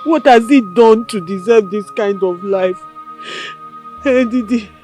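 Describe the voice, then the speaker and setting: A woman's voice: medium pitch, unhurried, a little mellow, soft background music, natural voice. An actress playing a despairing young mother whose husband left, speaking tearfully.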